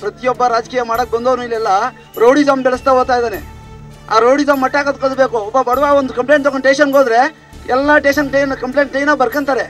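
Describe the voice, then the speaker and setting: Male member of the public, very high fast voice, insistent, faint music underneath. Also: steady tone, fairly bright tone. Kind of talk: street interview complaint.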